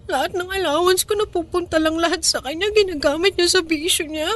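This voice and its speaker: An actress with a Filipino accent playing a loving, vulnerable, remorseful young adult daughter in an emotional radio drama style. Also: female voice, very high voice, a little fast, a bit bright. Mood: distressed, confessing. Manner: emotional, pleading, apologetic.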